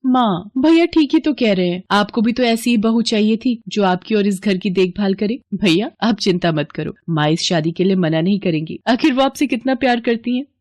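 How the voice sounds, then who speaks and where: A woman's voice: mid-range voice, lively intonation, moderate pace, hardly any pauses, a little mellow, no echo, clean studio sound, close to an everyday voice. A woman voicing a sweet-talking, scheming young sister in a cartoon. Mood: sweet, coaxing.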